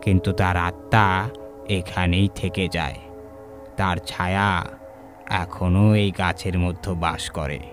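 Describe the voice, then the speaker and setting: A man voicing a grave village priest in a horror audio story, speaking in slow, solemn, halting phrases of warning. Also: deep voice, level tone, over music, voice pushed down.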